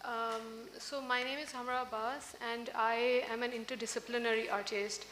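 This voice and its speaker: A woman in her forties, an artist on a festival panel, speaking hesitantly with many pauses into a stand mic. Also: on the high side, level tone, a bit bright, a little hiss or hum. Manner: hesitant, many ums and uhs. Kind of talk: panel discussion. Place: large indoor festival stage with audience. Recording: roomy hall sound, faint ambience.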